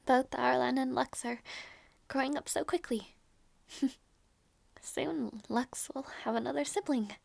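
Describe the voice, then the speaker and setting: Casting: female actor for tender princess aunt in audio roleplay, high voice, very dry close sound, doting and coaxing. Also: unhurried, vocal fry, pauses often, no room sound, heavily acted. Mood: quiet, reflective. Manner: sweet, coaxing, sighing. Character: gentle doting princess aunt minding her little nephew.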